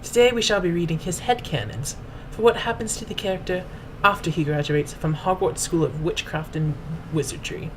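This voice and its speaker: A woman's voice: lowish voice, normal speed, few pauses, noisy background. A woman host reading aloud as a dramatic narrator, in a pompous, mock-grand and formal manner. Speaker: host and reader. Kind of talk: theatrical dramatic reading of fan fiction.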